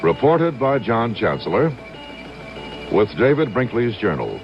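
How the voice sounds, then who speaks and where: American guy doing formal tv news intros, very animated pitch, music under him, old broadcast. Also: measured pace, dull audio. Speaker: television news announcer.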